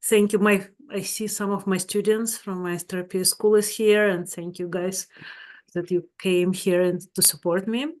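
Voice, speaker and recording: A woman's voice: medium pitch, little pitch movement, moderate pace, a little mellow. A woman with a Russian accent, a therapist giving a webinar talk in her second language, searching for words and speaking earnestly. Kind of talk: webinar presentation.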